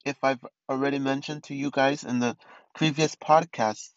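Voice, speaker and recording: A man's voice: medium pitch, steady tone, moderate pace, no room sound, dull audio. A man in his twenties hosting a casual solo podcast, rambling with many restarts and fillers. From East Los Angeles. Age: 20s. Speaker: podcast host.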